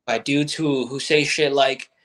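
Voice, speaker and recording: A man's voice: mid-range voice, steady tone, unhurried, a bit bright. A man in his thirties, a guest business owner, talking bluntly and with lots of swearing in a candid chat over a video call from a bedroom at home. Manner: blunt, profane, emphatic.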